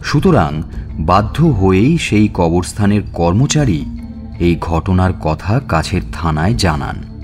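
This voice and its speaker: A man narrating a scripted suspense story in a measured, expressive, dramatic way. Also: low voice. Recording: music bed under narration.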